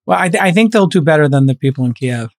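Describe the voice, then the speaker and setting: Mocking, animated male podcast host. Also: fairly high pitch, no echo, clean studio sound. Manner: mocking, animated, quick to laugh. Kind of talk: casual panel chat.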